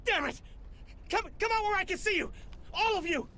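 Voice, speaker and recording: A desperate corporate villain for a video game. The voice is high and sharp, agitated and prone to stammering. Faint noise sits behind it. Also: male voice, normal speed. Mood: panicked, shouting. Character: jittery male corporate boss villain, desperate, snappish, cornered.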